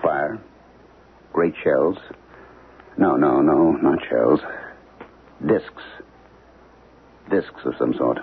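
A tinny old radio recording carries a haunted middle-aged professor. His voice is extremely low and husky, and he speaks in brooding, halting, terse phrases as a troubled man in a radio drama. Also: heavily acted. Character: troubled, haunted archaeology professor, married man with grown children. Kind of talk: 1970s radio drama acting. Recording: tinny, narrow-band old radio broadcast.

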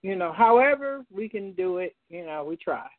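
A woman's voice: fairly low pitch, expressive, normal speed. Woman call-in host on a phone line. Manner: direct, poses questions to listeners. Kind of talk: call-in talk show hosting.

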